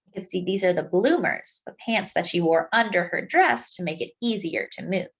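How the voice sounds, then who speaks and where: A woman reads a picture book aloud expressively, explaining things simply and deliberately as if to young children. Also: lowish voice, animated, a little hiss or hum. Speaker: children's storybook reader.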